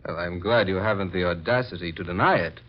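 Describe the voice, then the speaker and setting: An actor playing a mysterious, icily polite gentleman in 1940s radio horror, measured, formal and quietly menacing. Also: sits low. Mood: icily accusing. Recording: muffled 1940s radio recording.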